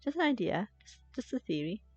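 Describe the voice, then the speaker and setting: A woman's voice: mid-range voice, sing-song, brisk, a little mellow, husky. A woman livestreaming a game with casual commentary, musing aloud in a playfully sceptical way on a close mic. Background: faint game music.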